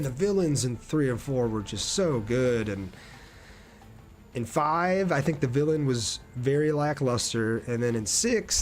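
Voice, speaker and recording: A man doing casual live gameplay commentary and chatting with viewers in a laid-back, slangy way. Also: medium pitch. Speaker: game streamer.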